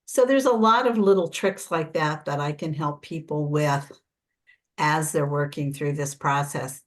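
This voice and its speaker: Low-voiced older American woman explaining. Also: a little slow. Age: 60s or older. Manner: conversational, explanatory, self-correcting. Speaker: preparedness coach. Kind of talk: informal workshop talk.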